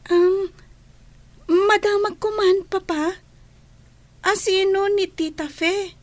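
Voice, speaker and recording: An actress voicing a fearful young daughter who speaks softly and pleadingly, in a radio drama. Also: female voice, extremely high pitch, steady tone, slow, no echo. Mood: worried.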